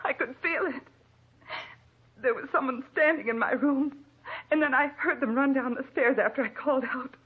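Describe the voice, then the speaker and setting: Tinny old radio sound carries a nervous, excitable wife. Her voice is high and breathless, with the fretful melodrama of a 1940s thriller. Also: moderate pace, slightly rough. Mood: anxious. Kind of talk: 1940s radio drama acting. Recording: tinny band-limited old radio recording.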